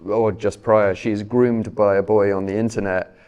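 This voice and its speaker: A man interviewing an author onstage, measured and searching for his words. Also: lowish voice, flat delivery, darkish tone, clean tone. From Britain.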